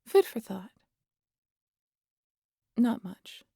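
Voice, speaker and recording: A woman's voice: very slow, warm tone, no room sound. A woman playing a teasing, sardonic immortal vampire in an audio roleplay, scolding in a dry, casual, sweary way with protective concern. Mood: wry, casual. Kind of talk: intimate audio roleplay acting.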